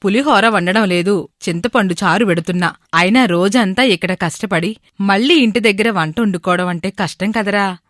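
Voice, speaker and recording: Woman doing an old village auntie in a moral story, lowered voice, nagging but motherly. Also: normal speed, dry recording, clean studio sound, close to an everyday voice. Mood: chiding. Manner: familiar, gently scolding. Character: elderly village woman, chiding and motherly customer.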